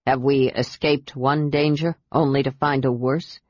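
A woman's voice: extremely low pitch, fairly even intonation, a little slow, darkish tone, no room sound, highs cut off, pitched below the performer's own voice. Woman voicing a wary, demanding half-elf man. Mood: suspicious. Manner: demanding, suspicious.

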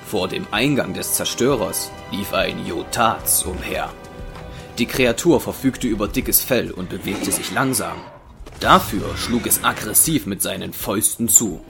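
Low, gravelly male narrator over music. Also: unhurried. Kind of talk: scripted audio drama narration.

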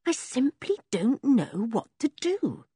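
A woman reading an audiobook voices a frightened, gentle young girl who talks in an anxious, pleading way. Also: sits high, sing-song, slow, slightly rough, dry recording, studio quality, pitched above the performer's own voice, exaggerated voice.

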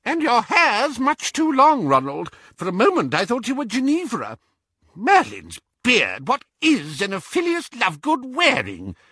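Man putting on shrill cantankerous ancient great-aunt witch. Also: huge pitch swings, unhurried, bright, forceful tone, breathy edge, no room sound, exaggerated voice. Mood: scolding. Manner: barking, shrill, bluntly critical. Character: cantankerous, imperious, snobbish 107-year-old great-aunt witch.

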